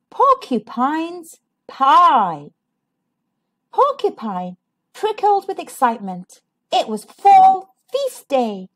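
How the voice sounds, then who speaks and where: A woman hosting and reading a children's storybook aloud, with sing-song delivery and drawn-out emphasis. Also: high voice. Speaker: children's storybook reader, channel host. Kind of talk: expressive read-aloud storytelling for young children.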